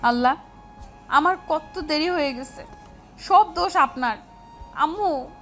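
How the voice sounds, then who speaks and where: Woman acting a high-voiced feisty schoolgirl. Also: takes it slowly, fairly bright tone, faint music behind. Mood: flustered. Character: feisty, easily annoyed teenage schoolgirl. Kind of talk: Bengali audio story acting.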